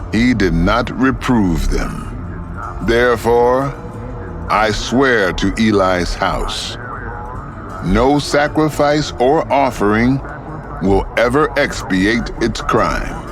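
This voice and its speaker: An actor voicing the Lord, a stern, commanding divine voice that makes grave, measured pronouncements, in an audio Bible drama. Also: male voice, lowish voice, expressive. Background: music.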